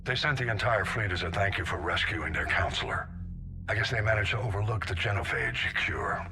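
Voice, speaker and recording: An actor playing a gruff, seasoned older navy admiral in a video game, blunt and authoritative. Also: male voice, lowish voice, expressive, a little fast, noisy background, close to an everyday voice. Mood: gruff, businesslike. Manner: blunt, authoritative military briefing delivery. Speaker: military admiral. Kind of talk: scripted video game acting.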